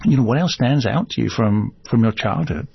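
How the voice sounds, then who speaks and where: A man interviewing a poet with measured, courteous questions. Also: medium pitch, expressive, creaky, breathy edge, no room sound. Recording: dull, dry lo-fi sound.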